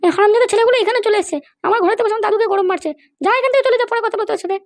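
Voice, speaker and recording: A woman's voice: very high-pitched, racing. A woman voicing a feisty, scolding young granddaughter in a comedy cartoon. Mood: indignant, scolding. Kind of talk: amateur comedy cartoon dub.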